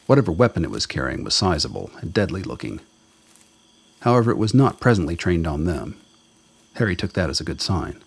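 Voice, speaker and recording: Very deep-voiced male narrator. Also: big pitch swings, a little fast, creaky, slightly rough. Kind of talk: scripted narration of a radio drama.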